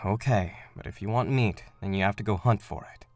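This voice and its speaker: A man playing a calm, earnest mentor of a spiritual order in an animated fandub, speaking in a measured, solemn way. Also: fairly low pitch, faint music behind, close to an everyday voice. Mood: patient, firm.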